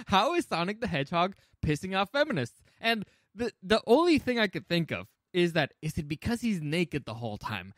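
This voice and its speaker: Man, slightly high voice, casual comedy podcast, excitable, jokey, dry sound. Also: moderate pace, no echo. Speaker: podcast host.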